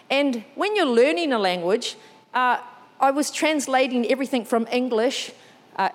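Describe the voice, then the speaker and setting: A woman with a New Zealand accent preaching a sermon, lively and chatty, with asides that poke fun at herself. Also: a little high, long reverb tail. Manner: lively, warm storytelling with self-deprecating asides.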